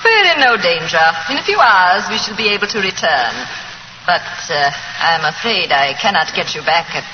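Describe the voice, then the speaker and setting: A poised woman villain in an old radio play, formally polite and falsely gracious. Her pitch swings theatrically up and down. The muffled recording is echoey and hissy. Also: very animated, normal speed. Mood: falsely apologetic. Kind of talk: 1940s radio drama acting.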